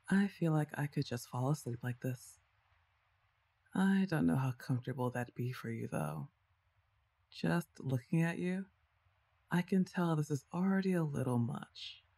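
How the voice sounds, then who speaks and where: Young woman, teasing older sister, as a woman acts her out, soft ASMR roleplay, mellow, husky. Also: sits low, exaggerated voice. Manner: playful, teasing, soft-spoken.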